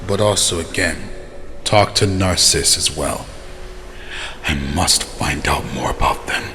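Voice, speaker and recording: A man acts out a vampire elder with a very deep voice. He is watchful and calm, handing out orders with measured authority. The fantasy drama recording rings with long reverb. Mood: commanding. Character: calm, watchful male vampire clan elder commanding his followers. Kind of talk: scripted fantasy voice acting. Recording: long reverb, faint background noise.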